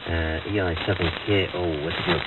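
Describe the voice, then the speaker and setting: A man with a fairly low voice chats casually and easily over shortwave radio. He sounds far off on a narrow, thin channel swamped by loud static. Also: fairly even intonation, normal speed. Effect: shortwave radio transmission. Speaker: amateur radio operator.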